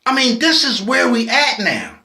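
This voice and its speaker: A man's voice: very high voice, wildly swooping pitch, unhurried, gravelly, bright, forceful tone, roomy sound. A man, impassioned and preachy, often restarting his sentences as he speaks his mind in a personal video. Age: 40s. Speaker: vlogger.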